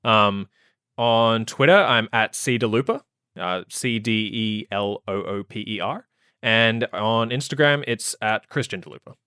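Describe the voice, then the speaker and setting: A man's voice: on the low side, unhurried, clear, resonant tone, no room sound, clean studio sound. Man with a muted Australian accent. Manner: relaxed, easygoing, laughs a lot. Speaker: podcast guest, tech journalist. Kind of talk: casual interview chat.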